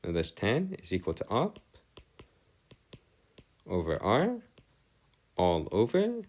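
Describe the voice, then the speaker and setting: A low male voice with widely swinging intonation walks through a lesson as a tutor. His wording is formal and bookish, and he delivers it haltingly, pausing often as he works through the explanation. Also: wildly swooping pitch, a little mellow. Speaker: math tutor. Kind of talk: improvised lesson explanation on trigonometry.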